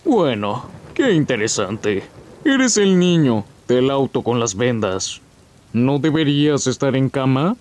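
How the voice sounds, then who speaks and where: Man playing an irritable cartoon gangster cat, slow-paced. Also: mid-range voice, sing-song, darkish tone. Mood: menacing. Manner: impatient, threatening, sardonic. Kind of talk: animated fan comic dub.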